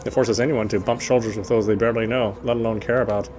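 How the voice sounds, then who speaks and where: A man's voice: lowish voice, fairly even intonation, quick pace, darkish tone, slight background noise. A man playing a game on stream, reading its story narration aloud and sometimes correcting his slips. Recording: music behind the voice. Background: game music.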